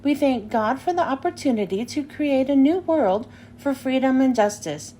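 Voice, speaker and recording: An earnest, helpful young storybook boy, as a woman reader voices him. The speech is measured, high and expressive, with a little noise on the recording. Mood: solemn. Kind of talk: children's storybook read-aloud.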